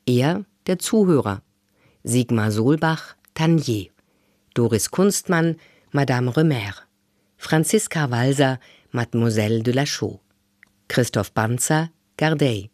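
A man's voice: medium pitch, dry recording, studio quality. A man reading scripted radio play credits as a formal announcer. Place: dry studio.

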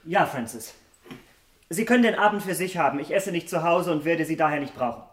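An actor playing an insistent, imperious young gentleman in a German radio play, controlled and coolly commanding. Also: a little high, expressive, fairly quick, slight background noise.

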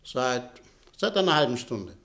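An actor playing a shrewd, self-assured company director in a German radio play, controlled, courteous and businesslike. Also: male voice, medium pitch, big pitch swings, unhurried, a bit bright. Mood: measured.

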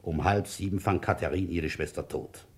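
An actor playing a methodical, weary police inspector in a German radio crime drama, with a husky voice. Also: male voice, low-pitched, normal speed, a little mellow. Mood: probing, stern. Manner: brooding in narration, firm and probing in questioning.

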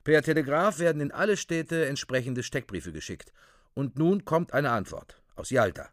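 A male narrator delivers scripted radio drama in a report-like style. His mid-pitched voice moves in a lively, animated way, recorded dry and close. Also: moderate pace, no echo.

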